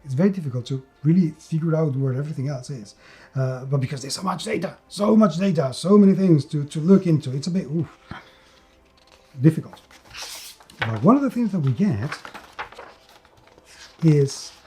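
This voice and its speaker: A man's voice: a little high, lively intonation, normal speed, pauses often, mellow. A man giving an enthusiastic, unscripted game review, emphatic and repeating words for stress.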